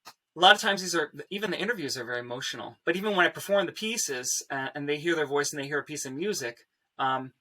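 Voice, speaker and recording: A man talking reflectively on a panel, halting and repeating words as he gathers his thoughts. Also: on the high side, bright, forceful tone, recorded from a distance, low-quality recording. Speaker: panelist, composer and performer. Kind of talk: reflective talk in a panel discussion.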